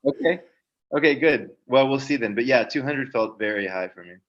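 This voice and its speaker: A man co-hosting a film podcast, chatting casually in a measured, slightly hesitant way. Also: medium pitch, lively intonation, dull audio. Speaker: podcast co-host.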